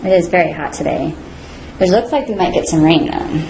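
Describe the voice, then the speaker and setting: A woman's voice: fairly low pitch, a little fast, vocal fry, noisy background. A woman playing an interviewing officer, asking friendly, polite questions. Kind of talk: scripted role-play. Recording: echoey room.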